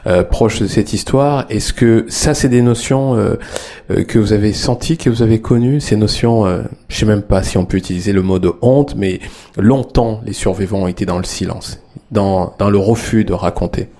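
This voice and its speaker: A man hosting a discussion, asking his questions in a measured, hesitant way. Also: fairly low pitch, dark tone. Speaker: host. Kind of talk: moderating a discussion.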